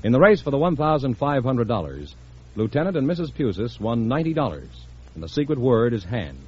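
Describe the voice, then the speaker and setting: An American man works as a radio quiz announcer, delivering scores and introductions at a fast clip. His pitch moves up and down freely. The old broadcast sounds dull. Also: big pitch swings, a little mellow, husky. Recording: muffled old radio broadcast, faint noise.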